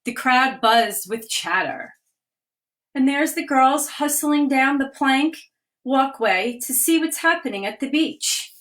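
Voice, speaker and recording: A woman with a slightly raised voice reads a children's picture book aloud over a live video stream. She paces each line gently and clearly, stopping now and then to point out what the pictures show. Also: sits high, lively intonation, slow. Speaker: children's author reading aloud. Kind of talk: storybook reading aloud. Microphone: phone or webcam on a live video stream.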